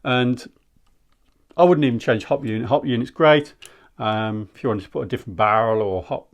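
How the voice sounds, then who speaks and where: A man's voice: medium pitch, moderate pace. A man giving a casual, candid product review in a conversational, rambling way. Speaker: airsoft gear reviewer.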